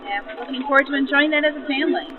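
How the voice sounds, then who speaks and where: A woman's voice: high voice, very fast, narrow, tinny audio. A woman giving casual interview answers as a passenger, with an old-time radio filter on her voice. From Illinois, US. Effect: 1940s radio filter. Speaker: train passenger. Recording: loud train noise behind the voice.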